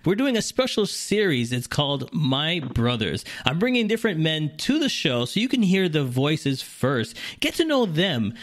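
A man hosting a podcast, asking questions in a loose, rambling way. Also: on the high side. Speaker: podcast host. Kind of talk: casual interview.

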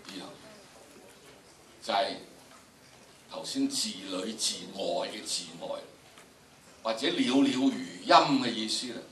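A man's voice: fairly low pitch, measured pace, pauses often, a bit bright. An older man with a Hong Kong Cantonese accent, teaching a class with theatrical, emphatic delivery and repeated phrases. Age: older, about 70s. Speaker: drama teacher, lecturer. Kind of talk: animated classroom lecture to students. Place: large indoor lecture room with audience. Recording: echoey lecture room, distant, noisy.

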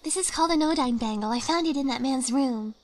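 A woman's voice: high-pitched, fast, natural voice. A woman acting an eager, stubborn young woman in a video game fan dub.

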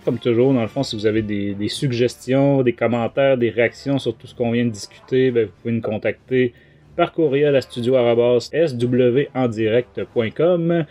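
A man's voice: medium pitch, normal speed, mellow, faint music behind. A man with a Quebec French accent hosting a casual book review podcast, talking in a hesitant way. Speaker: podcast host.

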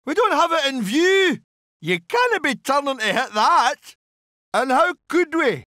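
A cheeky Scottish artillery gunner for a strategy war game, barking short orders back with a slow, deliberate delivery. The voice sits high and bright. It is recorded dry with no room sound. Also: male voice, big pitch swings, no echo, full character voice. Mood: clipped. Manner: clipped barked acknowledgements. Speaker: artillery crew unit voice. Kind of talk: video game unit responses.

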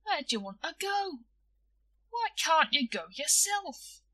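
A woman's voice: high-pitched, sing-song, takes it slowly, sharp tone, pitched above the performer's own voice, exaggerated voice. A woman voicing an earnest, self-important young boy in a story, blunt and stiltedly polite with clumsy grammar. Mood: blunt, curious.

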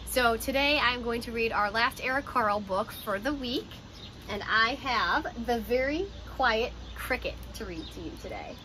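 A young woman with a high voice reads a picture book aloud for small children. Her delivery is expressive and aimed at young listeners. She is recorded outdoors on a porch, with clear ambient noise behind her. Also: moderate pace. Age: young adult. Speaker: teacher reading picture books aloud. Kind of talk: children's storybook read-aloud. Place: outdoors on a porch by glass doors.

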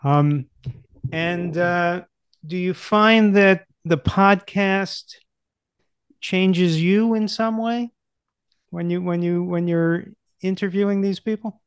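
Older male podcast host. High, smooth voice, playful and halting delivery, dry close-miked sound. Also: fairly even intonation, dry recording. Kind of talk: casual conversational interviewing. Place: indoor book-lined home office, video call. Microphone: close desk microphone.

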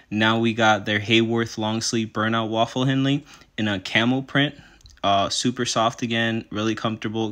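A man's voice: lowish voice, a bit bright. A man in his twenties from Puerto Rico, chatting casually to his viewers as a vlogger. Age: 20s. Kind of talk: casual vlog chat and product review.

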